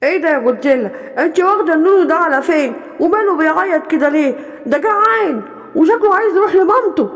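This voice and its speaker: A woman's voice: extremely high pitch, expressive, fast talker. A woman voicing a nosy forest animal in an Egyptian Arabic accent, questioning and suspicious. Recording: long hall reverb.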